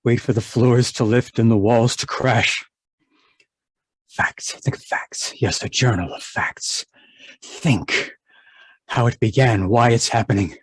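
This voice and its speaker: A man's voice: medium pitch, steady tone, slow pace, slightly rough, dry recording. An actor playing a guilt-ridden, domineering male chemist in an old-time radio horror play, swinging between haunted muttering and clipped, imperious speech. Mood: haunted, brooding.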